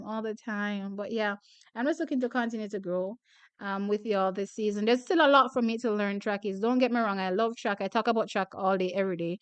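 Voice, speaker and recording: A woman's voice: a little high, a bit bright, clear, resonant tone, dry recording, muffled recording. A young woman from Jamaica hosting a track and field channel, chatting casually with viewers, laughing often and repeating herself. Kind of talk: casual chat reading viewer comments.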